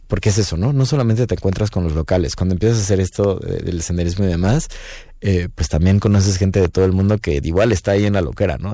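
A Mexican man speaks in a very low, mellow voice with a dry, close sound. He chats loosely and meanders, filling pauses as he thinks aloud in a radio booth interview. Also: dry recording. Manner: relaxed, rambling, frequent fillers and "¿no?". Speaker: guest musician. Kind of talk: casual interview talk.

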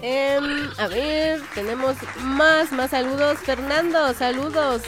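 A woman's voice: sits high, slow pace, over music, a crowd in the background. A Mexican woman co-hosting a radio show, reading out listeners' greetings in a chatty, easygoing way. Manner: chatty, reads out greetings with light laughs. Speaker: radio co-host.

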